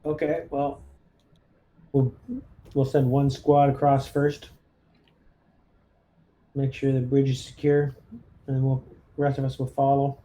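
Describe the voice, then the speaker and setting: A man's voice: mid-range voice, monotone, takes it slowly, long pauses, warm tone. A man chatting casually as a player in a tabletop roleplaying game, talking through his moves.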